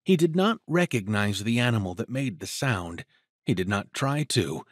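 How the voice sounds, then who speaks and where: A man narrating a dramatic story from a script in a measured, literary and expressive manner. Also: on the low side, dry recording, studio quality.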